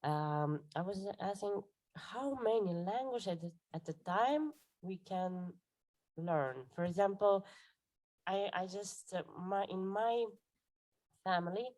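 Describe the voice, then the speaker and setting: A woman from Lithuania, speaking English as a second language, hosts an online question session. She talks slowly and hesitantly, searching for words between fillers over a video call. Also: mid-range voice, a little mellow. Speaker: event moderator.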